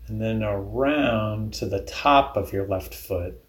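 A man leading a guided body scan meditation in a calm, deliberate, instructional way. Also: lowish voice, reverberant.

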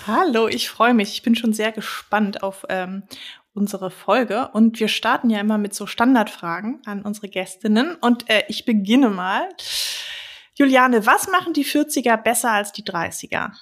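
A woman's voice: on the high side, normal speed. A woman in her forties co-hosting a podcast, asking questions in a friendly, encouraging way. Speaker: podcast co-host. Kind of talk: casual podcast interview.

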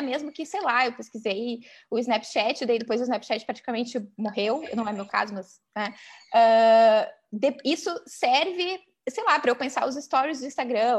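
A woman with a Brazilian accent in an informal academic discussion, speaking as a host who thinks aloud in hedged, halting phrases. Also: a little high.

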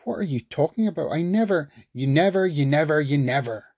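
A man voicing an angry, volatile man whose bitter words rise into yelling at his partner. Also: high-pitched, fast, creaky, breathy edge, dry recording, voice pushed up, heavily acted. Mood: furious.

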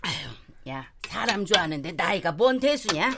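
A woman's voice: fairly low pitch, big pitch swings, moderate pace, noisy background. An actress playing a headstrong older mother who brushes off her daughter and scolds her bluntly. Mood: brushing off. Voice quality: brassy, scolding. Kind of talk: TV drama acting.

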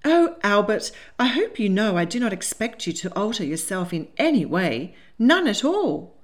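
A woman's voice: mid-range voice, sing-song, moderate pace, close to an everyday voice. A woman playing a fretful, doting genteel lady. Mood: reassuring. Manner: effusive, genteel, fussing.

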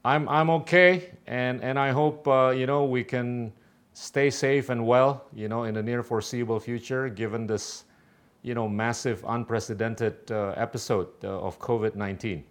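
A middle-aged man hosting an interview, asking questions in a halting way, often repeating his words. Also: mid-range voice, fairly even intonation. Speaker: host.

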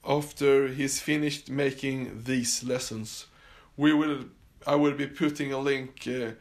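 A man's voice: mid-range voice, steady tone, slow pace. A man from Sweden with a Swedish accent, hosting a casual solo podcast from his summer house, talking with many fillers and restarts. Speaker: podcast host.

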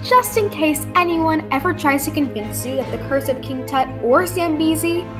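A woman's voice: high voice, expressive, normal speed, over music. A woman playing a plucky sleuthing helper and storyteller in an old-time radio drama. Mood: wrapping up.

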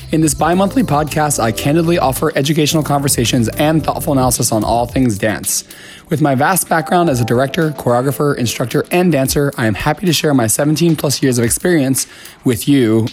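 A man in his thirties talks as a solo podcast host, his voice hoarse and worn after losing it. He speaks candidly, in a chatty, self-deprecating way. His voice sits at an ordinary middle pitch for a man. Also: darkish tone. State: hoarse, lost voice. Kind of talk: casual solo podcast talk.

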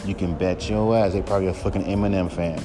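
A man with a deep voice hosting a podcast, talking bluntly and profanely in a casual solo rant. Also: steady tone, quick pace, music in the background. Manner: blunt, profane, mocks others in high mimicking voice. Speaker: podcast host.

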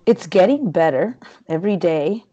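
A low-voiced woman from Peru chats freely as an interview guest, wandering from thought to thought with plenty of fillers and restarts. Her tone is dark and mellow. The recording sounds a bit dull and lo-fi. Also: lively intonation, moderate pace. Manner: chatty and rambling, many fillers and restarts. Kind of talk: casual interview conversation.

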